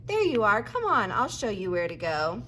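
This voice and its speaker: Lady doing a gushy brisk principal from a storybook, loud and emphatic, faint noise. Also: medium pitch, huge pitch swings. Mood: gushing. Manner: gushing, emphatic. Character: gushing, brisk, reassuring woman school principal.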